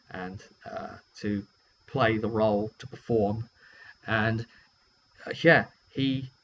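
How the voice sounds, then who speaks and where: A British man with a medium-pitched voice tells a game story in a loose, chatty way. He hesitates and rambles as he goes, unscripted. The recording is lo-fi and dull, with a light hum underneath. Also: pushed and bright. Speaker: game master and vidcast host. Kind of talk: casual session recap, unscripted.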